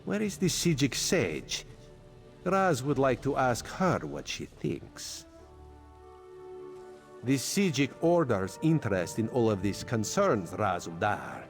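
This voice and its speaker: Slow, swooping sly cat-man spy. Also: medium pitch, sing-song, soft background music. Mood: sly, musing. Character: sly male cat-man spy who talks of himself in third person. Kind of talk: video game character dialogue.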